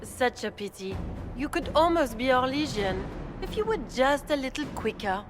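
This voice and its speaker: A smug, scheming aristocratic villainess. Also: female voice, high voice, big pitch swings, normal speed. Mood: smug, mocking. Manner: silky condescension, gloating. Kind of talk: dramatic fantasy game dialogue. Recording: music clearly under the voice.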